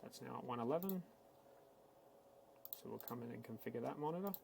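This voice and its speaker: A man giving a casual, matter-of-fact, step-by-step software walkthrough, speaking unscripted like a tech support instructor. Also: mid-range voice, lively intonation, a little fast, gravelly, slight background noise. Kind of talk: screen-recorded software tutorial, unscripted walkthrough.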